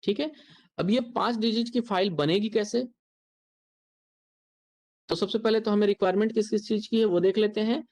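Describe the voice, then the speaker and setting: Step-by-step Indian software instructor. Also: mid-range voice, steady tone, normal speed, pauses often. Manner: explanatory, step-by-step, repeats points. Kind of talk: software tutorial lecture.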